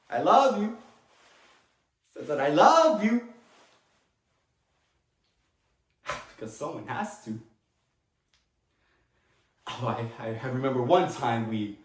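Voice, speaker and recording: A man performing a dramatic monologue as a talkative, tender immigrant father with a Latin American Spanish accent, rambling haltingly with small chuckles. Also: mid-range voice. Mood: insistent, tender. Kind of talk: dramatic monologue, stage acting. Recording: echoey room.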